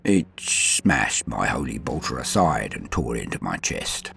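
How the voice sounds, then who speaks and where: An extremely deep, heavily creaking voice of a battle-worn, dying sergeant as acted by a man. He is stoic and proud, halting and solemn, swinging between murmur and force in a sci-fi audio drama over music. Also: very animated, a bit bright, heavily acted. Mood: grim, regretful. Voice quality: very deep gravelly voice. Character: dying veteran space-marine sergeant, stoic, proud, dutiful, centuries old. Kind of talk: scripted first-person audio drama monologue.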